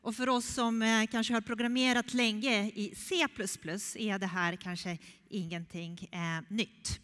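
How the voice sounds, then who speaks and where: A middle-aged woman giving a keynote on robotics from a large conference stage, speaking freely with frequent "eh" pauses into a headset mic. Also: mid-range voice, fairly even intonation, a bit bright. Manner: unscripted, many "eh" pauses. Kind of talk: keynote talk. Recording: echoey hall.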